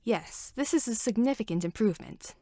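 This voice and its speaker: A reserved alien man, voiced by a woman narrator, speaks in stiff, measured, formal sentences. He is composed and quietly fond, in an audiobook story with a very dry, close sound. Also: fairly high pitch, expressive, no echo. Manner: formal, measured speech without contractions.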